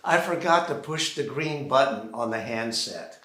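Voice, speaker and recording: An American man performing a theatrical solo monologue, deliberately acting out one side of a phone call on a theater stage. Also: mid-range voice, expressive, a bit bright. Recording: roomy, echoing live theater sound.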